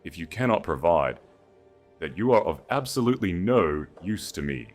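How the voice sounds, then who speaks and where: A man playing an icy, scornful aristocratic lady, low-pitched. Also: very animated, faint music behind. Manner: icy, slow, clipped disdain. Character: cold, scornful aristocratic young woman, calculating and contemptuous.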